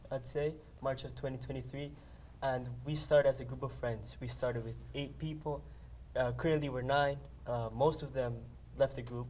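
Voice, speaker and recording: A man's voice: mid-range voice, darkish tone, a little hiss or hum. A young man, a dancer and co-founder of the group, speaking casually with hesitant fillers.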